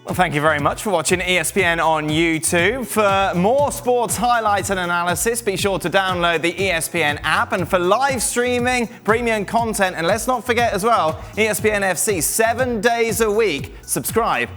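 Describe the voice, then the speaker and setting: A man's voice: sits high, moderate pace, hardly any pauses, fairly bright tone. A man reading a scripted channel promo as an announcer in a voice-over. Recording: voice-over with music bed. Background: music.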